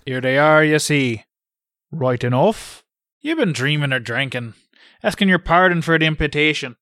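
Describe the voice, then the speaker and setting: A man's voice: fairly high pitch, expressive, normal speed, a bit bright, no room sound, clean studio sound, voice pushed up. A man voicing a bluff, hearty, mocking Irish country doctor with an emphatic, scolding manner in a storybook reading. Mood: grimly reassuring. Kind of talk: audiobook character voice.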